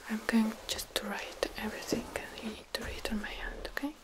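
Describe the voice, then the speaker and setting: A young woman performs an ASMR exam roleplay in a soft whisper. She speaks in short, sparse, hushed phrases very close to the microphone. Any voiced sounds sit a little high. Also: steady tone, brisk. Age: young adult. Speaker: ASMR roleplayer playing an eye and ear examiner.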